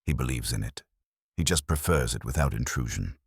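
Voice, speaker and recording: A man's voice: extremely low pitch, animated, fairly quick, breathy edge, dry recording, voice pushed down, heavily acted. A man voicing a wry, streetwise detective's assistant in an audiobook, casual, dry and teasing.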